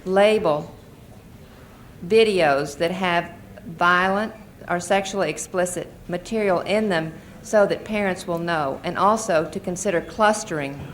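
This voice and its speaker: An American woman in middle age speaks as a witness at a formal hearing. Her voice is on the low side and her delivery is earnest and explanatory. The archival hearing-room recording has faint noise. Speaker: advocacy group witness and mother. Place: Senate committee hearing room.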